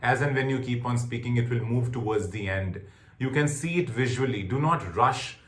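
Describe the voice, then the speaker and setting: A man's voice: medium pitch, moderate pace, a bit bright, reverberant, slight background noise. A man with an Indian accent teaching exam tips as a trainer, emphatic and repeating his key points. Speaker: test-preparation trainer. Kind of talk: instructional lecture on exam tips.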